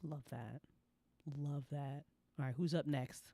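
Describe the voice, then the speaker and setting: A woman's voice: low-pitched, steady tone, slow pace, warm tone, dry recording. A woman hosting a casual after-show, effusive and encouraging with her guests. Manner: effusive, encouraging, swears freely. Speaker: host of a live after-show. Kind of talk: casual interview chat.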